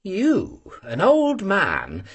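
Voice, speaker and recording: A man voicing a polite, earnest young male cadet who speaks mildly, in a husky voice. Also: high voice, huge pitch swings, extremely slow, voice pushed up, full character voice.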